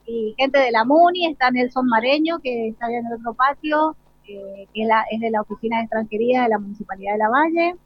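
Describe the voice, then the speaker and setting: Higher-voiced Argentine woman, dull lo-fi sound. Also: fast talker. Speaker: school director giving an interview. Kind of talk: unscripted live interview, listing guests. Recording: dull lo-fi live broadcast sound.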